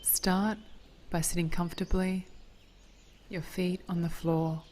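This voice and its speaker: A woman leading a guided meditation in a gentle, soothing, hushed voice, with phrases trailing into breath. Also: on the low side, flat delivery, takes it slowly, a little hiss or hum.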